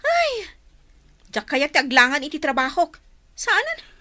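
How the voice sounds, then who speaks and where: A bitter, stubborn wife in a radio drama, acted by a woman, with a high, bright voice that swings widely. She is curt and dismissive, bursting into exclamations. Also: wildly swooping pitch, a little slow, exaggerated voice. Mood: irritated, dismissive. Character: resentful, stubborn working wife bitter toward her father.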